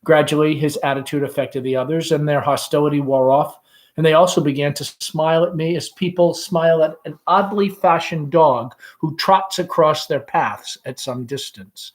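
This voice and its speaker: A man reading a play aloud in deliberate, expressive scripted narration. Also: a little high. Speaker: reader, principal.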